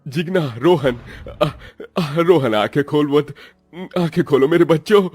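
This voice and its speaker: A man's voice: on the high side, unhurried, darkish tone, slightly rough, no echo, soft background music. A man playing a worried village grandfather with an urgent, concerned manner in a cartoon story. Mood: alarmed.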